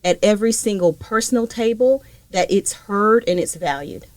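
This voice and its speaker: A woman's voice: medium pitch, normal speed, no echo. A woman from Liberia, a guest on a podcast panel, speaking earnestly and with emphasis about her work. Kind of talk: casual panel conversation.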